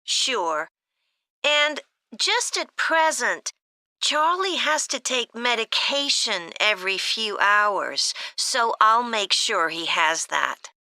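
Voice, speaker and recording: A woman's voice: a little high, expressive, slow, sharp tone, no room sound, heavily acted. An actress playing a polite, agreeable mother making a booking enquiry in a listening-test dialogue.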